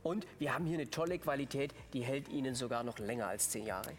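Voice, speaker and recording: A man co-presenting a TV shopping show, delivering an enthusiastic live sales pitch with gushing, emphatic praise and repeated superlatives. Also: lively intonation, fast.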